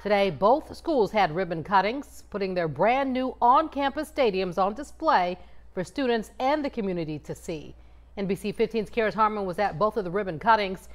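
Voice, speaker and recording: A woman news anchor reading a scripted introduction in a studio, measured and clear. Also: medium pitch, animated.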